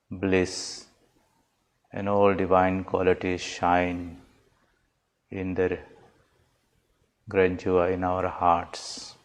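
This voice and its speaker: A man with a low voice guides a meditation as a swami. His delivery is very slow and even, with little rise or fall in pitch. He phrases each instruction calmly and deliberately. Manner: calm, measured, deliberate phrasing.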